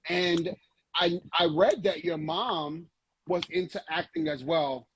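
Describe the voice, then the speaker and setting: A man's voice: on the high side, slow pace, a little hiss or hum. A man hosting a casual interview, asking questions in a friendly, chatty way with slang. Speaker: interview host.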